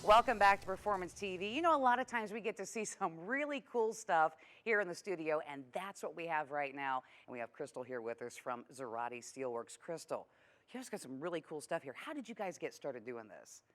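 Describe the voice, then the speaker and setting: American female TV host, low register, casual studio interviewer. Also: animated, fairly quick, a bit bright. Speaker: TV show host.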